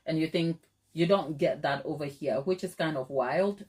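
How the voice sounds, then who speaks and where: A woman's voice: sits low, moderate pace, a little mellow. A middle-aged woman from Ghana hosting a live stream, chatting casually with viewers, laughing often and using plenty of fillers. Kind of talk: casual chat reading viewer comments.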